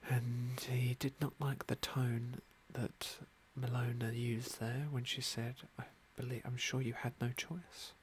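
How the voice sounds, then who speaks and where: A man softly narrating a fantasy game as an ASMR roleplay, unhurried and with formal, genteel phrasing. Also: mid-range voice, level tone, a little hiss or hum. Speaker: host of an ASMR game playthrough.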